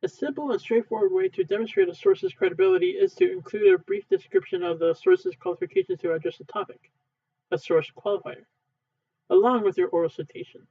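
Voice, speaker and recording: A man with a high-pitched voice reads instructional textbook material aloud. He goes haltingly, a few words at a time, like an amateur practising. The recording is narrow and muffled, like a phone line. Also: very animated. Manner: halting, word-by-word reading with stumbles. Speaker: amateur reader, channel owner. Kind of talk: reading a textbook aloud, unedited.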